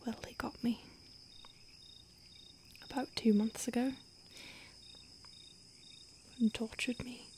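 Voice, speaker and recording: A woman playing an ancient, secretive vampire in a whispered roleplay ASMR, hushed and halting with deliberate pauses. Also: fairly high pitch, level tone. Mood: grave, confiding. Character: ancient female vampire, secretive, urgent, weary, protective. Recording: faint hiss, outdoor night insects.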